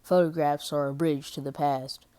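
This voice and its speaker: A woman's voice: low-pitched, little pitch movement, normal speed, mellow, natural voice. A woman voicing a brooding, grim male vigilante detective who narrates his thoughts in a solemn, terse, introspective comic book narration.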